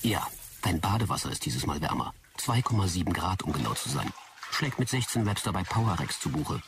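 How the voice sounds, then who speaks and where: A pedantic artificial assistant from a sci-fi radio play. The voice is low and dryly precise. It sounds a little far from the mic, with clear noise around it. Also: male voice, very animated, brisk. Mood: deadpan, teasing. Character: dry, pedantic male AI personal assistant. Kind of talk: German sci-fi audio drama acting.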